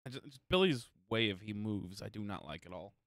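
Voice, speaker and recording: A man's voice: fairly low pitch, animated, brisk, fairly bright tone, no room sound, clean studio sound. A man giving casual commentary as he plays a game, sometimes reading tutorial text aloud. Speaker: gaming streamer. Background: video game music.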